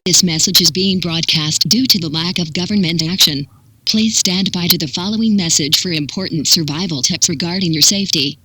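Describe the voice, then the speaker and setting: A synthetic text-to-speech voice reading a scripted public warning in an impersonal, measured manner with clipped instructions. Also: medium pitch, bright, forceful tone, husky, no room sound, poor audio. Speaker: emergency broadcast announcer.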